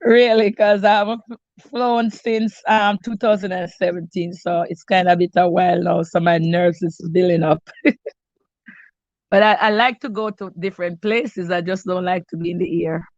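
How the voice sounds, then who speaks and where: A woman's voice: on the low side, steady tone, moderate pace, darkish tone, no echo, highs cut off. Stuffy-nosed Jamaican woman chatting live. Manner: chatty, greets viewers by name, frequent restarts. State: stuffy, congested. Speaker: cooking channel host. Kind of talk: casual live-stream chat with viewers.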